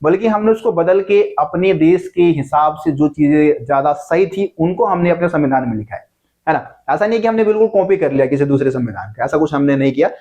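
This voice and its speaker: A man's voice: fairly high pitch, lively intonation, brisk, a little mellow, dull audio. A man teaching an exam-prep lesson in a conversational way, often checking in with his students and answering his own questions. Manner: conversational, keeps checking in with "ठीक है जी"; repeats key points, asks and answers own questions.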